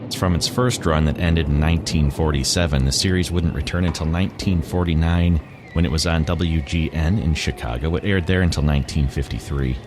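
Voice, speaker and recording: A man's voice: rumbling low, fairly even intonation, a little fast, noisy background. A man hosting a podcast, giving a scripted introduction to old radio shows. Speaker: podcast host.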